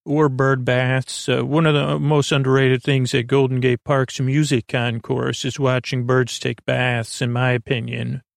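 A man speaks in a very creaky, gravelly voice at a medium pitch, recorded very dry. He hosts a bedtime podcast, wandering softly from tangent to tangent. His delivery is halting, soothing and gently whimsical, full of fillers and pondering pauses. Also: little pitch movement, dry recording. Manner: meandering, hesitant, halting, with frequent tangents and pauses. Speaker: podcast host. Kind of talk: rambling bedtime monologue.

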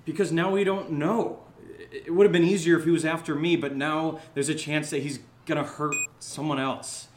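A man playing an impulsive, eager young American schemer in a comedic audio drama, talking in a casual, rambling, excitable way. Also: moderate pace, hardly any pauses, lightly acted. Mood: defensive, rueful. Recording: low-level home recording, faint noise.

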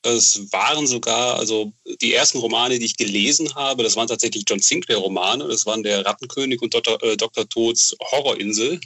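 Male interview guest from Bonn, casual and enthusiastic, bright tone, reminiscing conversationally on a muffled recording. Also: medium pitch, brisk, few pauses. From Bonn, western Germany. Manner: enthusiastic, chatty, seeks agreement with tag questions. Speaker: interview guest, novel author.

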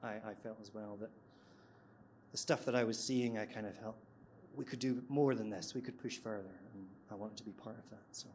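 A man's voice: on the low side, fairly even intonation, fairly quick, darkish tone. Scottish guy on a panel, easygoing, bit hesitant, faint hum behind. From Scotland. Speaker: panellist screenwriter. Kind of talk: live panel discussion. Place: event venue, live audience.

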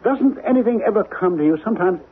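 An actor in a 1970s radio drama playing an agitated, haunted man who speaks intensely and haltingly, repeating phrases. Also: sits high, animated, slightly rough. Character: agitated, obsessed man haunted by ancestral alien memories. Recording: tinny, noisy 1970s radio recording.

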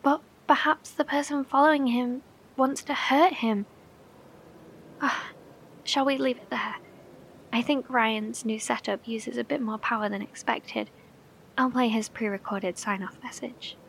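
A woman playing an anxious, caring radio operator in a horror audio drama, with a breathy voice and a tentative, concerned delivery. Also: on the high side, steady tone, measured pace, a bit bright, slight background noise. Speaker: actor.

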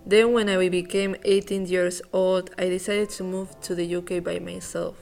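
A woman in her twenties, raised in Spain, co-hosting a podcast and reading her personal story in an earnest, steady way. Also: on the low side, moderate pace, creaky, soft background music. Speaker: podcast co-host. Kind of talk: reading a self-introduction.

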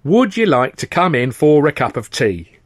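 A man's voice: fairly high pitch, very animated, normal speed, voice pushed up. Man putting on a curt, grumpy housewife. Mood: suspicious, grudging. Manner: curt, disapproving. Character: grumpy, suspicious middle-aged housewife.

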